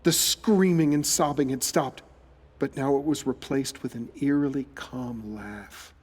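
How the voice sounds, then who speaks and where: A man's voice: mid-range voice, measured pace, darkish tone, slightly rough. A man narrating a scripted audio horror drama in a suspenseful, dramatic storytelling manner.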